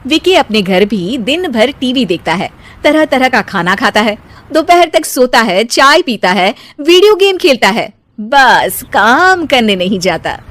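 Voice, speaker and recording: A woman telling a children's cartoon story with scripted narration, her voice slightly high. She keeps an even, clear storyteller's delivery from scene to scene. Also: unhurried. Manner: clear, even storytelling that ends in a spoken moral.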